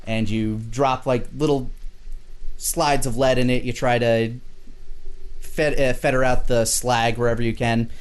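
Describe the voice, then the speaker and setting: A man's voice: mid-range voice, fairly even intonation, measured pace, smooth. Guy game mastering, mid voice, easygoing improvised narration, chatty. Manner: conversational, easygoing.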